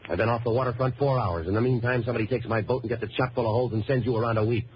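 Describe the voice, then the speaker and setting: An actor playing a cynical, sardonic waterfront hero in a 1940s American radio noir drama, with deadpan delivery. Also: male voice, mid-range voice, racing, natural voice. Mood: defiant. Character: wisecracking, cynical waterfront boat-rental man, hardboiled noir hero. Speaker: lead actor and narrator. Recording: muffled, noisy old radio recording.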